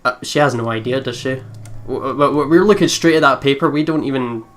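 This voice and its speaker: Low-pitched woman doing gaming commentary. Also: a little fast. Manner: giggly, reactive, sweary. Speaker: let's play host. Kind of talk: casual gaming commentary with read-aloud narration.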